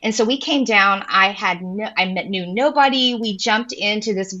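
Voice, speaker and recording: Bright woman in her forties, from Indiana, upbeat, slightly dull lo-fi sound. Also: mid-range voice, moderate pace. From Indiana, US Midwest. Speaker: podcast guest, women's ministry leader. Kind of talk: casual interview chat.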